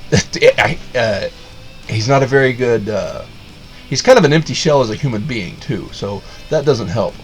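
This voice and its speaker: A man's voice: mid-range voice, very animated, a little slow, soft background music. A man giving a casual, sarcastic rant of a review, rambling with lots of restarts. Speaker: video reviewer.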